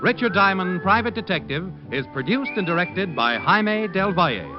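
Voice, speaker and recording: A man's voice: sits high, lively intonation, normal speed. A male announcer reading scripted sign-offs and credits in formal 1950s radio style. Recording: muffled old radio recording, music.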